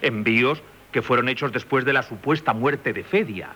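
Male, Castilian Spanish, bright forceful delivery: actor playing a courteous, probing examining magistrate, formal radio drama style. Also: mid-range voice, normal speed, a little hiss or hum, lightly acted. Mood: stern, official. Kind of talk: 1970s Spanish radio theatre acting.

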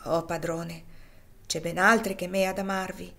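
A woman reading a gentle devout old servant. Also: lowish voice, flat delivery, unhurried, vocal fry. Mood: pleading. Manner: soft, pleading, tender. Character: humble devout older enslaved man, gentle. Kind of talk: audiobook character reading.

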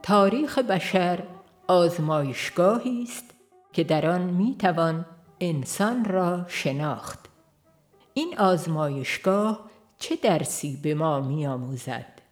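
Low-voiced woman reading an audiobook. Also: expressive, faint music behind. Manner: measured, earnest reading with rhetorical questions. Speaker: audiobook reader. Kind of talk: scripted nonfiction narration.

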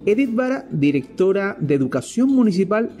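Lively high-pitched Chilean male news reporter. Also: moderate pace. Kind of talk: scripted radio news narration. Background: music bed.

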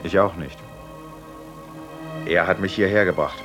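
An actor in a German radio drama playing a sober, determined asylum inmate who speaks and narrates in a measured, deliberate way. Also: male voice, low voice, dull audio, over music. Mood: gently probing.

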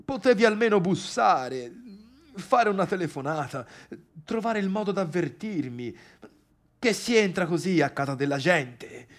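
A man's voice: high-pitched, expressive, measured pace. An actor playing a gossipy, needling party guest and domineering friend in a theatre monologue, chatty and insistent, repeating himself and addressing his listeners directly. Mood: startled, awkward.

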